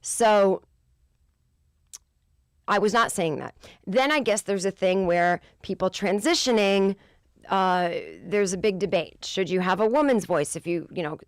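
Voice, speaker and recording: Woman podcast host, 40s, low voice, exasperated profane rant, dead dry room. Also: a little slow, dry recording. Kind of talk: casual comedic monologue.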